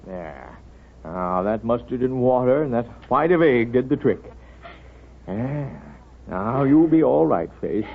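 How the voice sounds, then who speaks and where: Wise small-town American doctor, unhurried and hesitant, folksy phrasing, thin noisy vintage radio recording. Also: male voice, mid-range voice, sing-song, gravelly, narrow, tinny audio. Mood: gentle. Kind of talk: 1930s radio drama acting.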